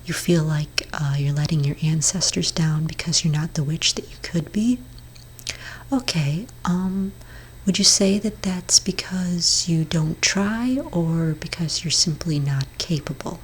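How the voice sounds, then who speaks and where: A woman speaking very softly and breathily in an ASMR therapist roleplay, gently reassuring with a hesitant, counselling manner. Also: low voice, unhurried, slight background noise. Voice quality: breathy, whispery soft voice. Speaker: ASMR performer role-playing a therapist. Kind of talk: soft-spoken ASMR roleplay.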